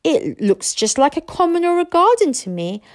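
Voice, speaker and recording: A woman's voice: medium pitch, big pitch swings, slow pace, no echo, studio quality. A woman voicing a pompous, blustering Viking chief and father in a storybook reading. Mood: doubtful, impressed. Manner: blustering, loud commands. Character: gruff, bellowing Viking chief father, pompous and easily impressed.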